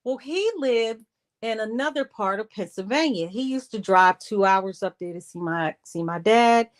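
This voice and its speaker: A woman telling a personal story in a casual livestream style, re-enacting conversations and repeating phrases for emphasis in a halting way. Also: medium pitch. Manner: animated re-enacting of conversations with quoted dialogue.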